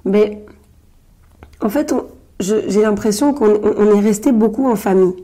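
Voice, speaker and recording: A woman's voice: medium pitch, normal speed, dark tone. A woman being interviewed as a journalist and writer, answering in a hesitant way with many fillers and restarts. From Belgium, Brussels-born Congolese.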